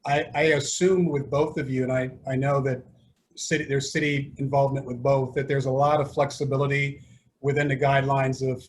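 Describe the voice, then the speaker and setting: A man moderating a panel discussion, asking questions in a halting way with many pauses. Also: medium pitch, flat delivery, a little hiss or hum. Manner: halting, many fillers and restarts.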